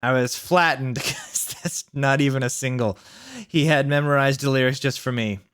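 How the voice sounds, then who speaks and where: Male host, smooth clean voice, comic story narration with sarcastic, mock-earnest commentary. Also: mid-range voice, steady tone, moderate pace, fairly bright tone, no room sound, clean studio sound. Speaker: host reading fan fiction aloud. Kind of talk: comic dramatic reading with asides.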